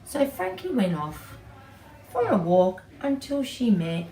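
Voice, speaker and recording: A woman's voice: fairly high pitch, big pitch swings, slow, dark tone. Female children's storyteller, very varied intonation, roomy echo. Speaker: early childhood educator telling a children's story. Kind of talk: animated storytelling for young children. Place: small indoor playroom with bookshelves, seated on floor. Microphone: camera several feet away. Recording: distant mic, roomy echo, background noise.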